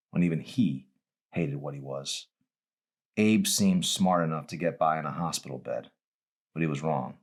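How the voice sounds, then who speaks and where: Male audiobook narrator, low register, author reading scripted fiction. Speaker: author reading own novel.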